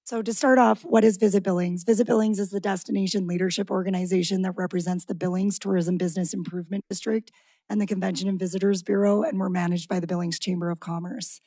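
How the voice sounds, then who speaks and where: Raspy-voiced woman presenting to council. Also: mid-range voice, steady tone, mellow, no room sound. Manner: brisk presenting with occasional restarts. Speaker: tourism organization executive director. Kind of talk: presenting a budget update to city council. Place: council chamber.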